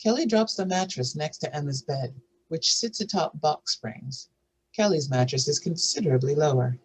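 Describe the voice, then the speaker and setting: A woman with a husky voice reads scripted narration and stage directions for a drama table read. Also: low voice, lively intonation, normal speed, poor audio.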